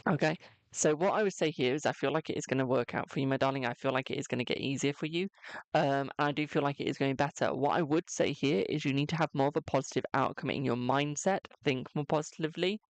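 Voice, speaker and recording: A young man with a naturally high voice hosts a live tarot reading, chatty and cheeky, calling each viewer "my darling". His tone carries a gravelly fry at the ends of phrases. The sound is dry and close, with no room echo. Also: quick pace. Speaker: tarot reader on a livestream.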